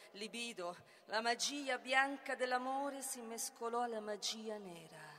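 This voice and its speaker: A woman's voice: fairly high pitch, sharp tone, full character voice. A woman playing a betrayed, vengeful sorceress in a tragic stage monologue, declaiming with theatrical intensity. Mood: cursing, anguished. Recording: roomy reverberant hall, faint noise.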